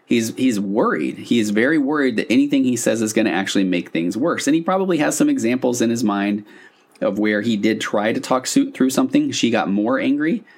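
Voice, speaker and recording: A man's voice: medium pitch, expressive, fairly quick, breathy edge. A man talking informally and animatedly as a podcast host, explaining things like a couples therapist into a microphone. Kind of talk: conversational solo podcast explainer. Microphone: podcast microphone on a stand.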